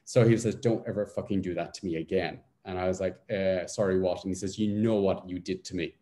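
A man's voice: deep voice, a little fast. A Dublin Irish man in his forties, a guest telling his life story on a video call from his living room with intense energy. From Ireland. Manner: intense, animated storyteller with hand gestures. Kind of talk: casual chat, personal story.

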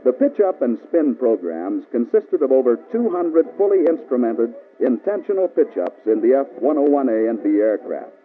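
A man's voice: mid-range voice, big pitch swings, normal speed, few pauses, phone-quality sound, soft background music. American man narrating an old band-limited training film. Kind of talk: scripted instructional narration, mid-century military film.

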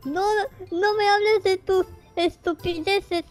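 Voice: very high-pitched, steady tone, slow, soft background music. A performer voicing a bossy, snippy little girl in a children's game roleplay, dismissive and demanding.

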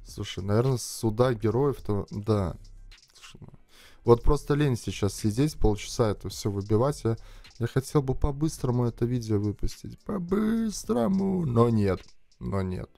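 A man's voice: mid-range voice, animated, moderate pace, vocal fry, warm tone. Young man chatting over a mobile game. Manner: chatty, thinks aloud, talks to viewers. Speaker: gaming streamer. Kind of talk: casual game commentary. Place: small room indoors. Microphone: headset, webcam framing. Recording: dry close sound, faint music behind.

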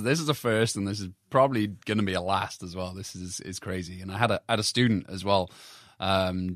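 A man's voice: low-pitched, no echo, clean studio sound. A man in his thirties from South Wales with a Welsh accent, a physical therapist chatting casually with fillers in an interview aboard a submarine. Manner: relaxed, chatty with frequent fillers and laughs. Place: submarine galley.

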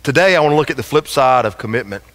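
A man's voice: mid-range voice, lively intonation, gravelly, fairly bright tone. Middle-aged male pastor in a reverberant church. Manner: halting, conversational delivery. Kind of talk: sermon. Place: large church sanctuary, at the pulpit. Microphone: pulpit gooseneck microphone.

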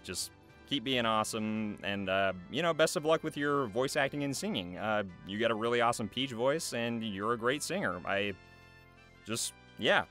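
Low-voiced young man, earnest, music behind. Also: a bit bright. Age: young adult. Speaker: voice actor and video creator. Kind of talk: casual thank-you address to camera, loosely scripted. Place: small foam-treated recording booth. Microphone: large studio microphone close by.

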